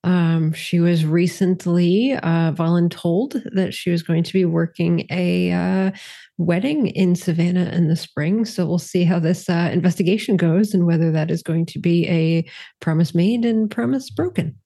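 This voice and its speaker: A woman introduces her tabletop character in a relaxed way. Her voice is low, gravelly and mellow, and her talk is loose and full of fillers. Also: moderate pace. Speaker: player.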